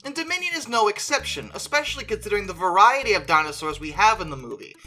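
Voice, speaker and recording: A woman's voice: mid-range voice, animated, fast, pushed and bright, faint music behind. A woman talking conversationally through an opinionated fan review, with emphatic stress on key words. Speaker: video creator reviewing films as a fan.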